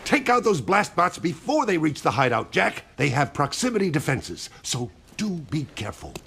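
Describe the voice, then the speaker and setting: An actor playing a snippy, bossy, bickering rebel in a video game cartoon, with a raspy, creaky voice. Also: male voice, fairly high pitch, wildly swooping pitch, normal speed, a bit bright, heavily acted.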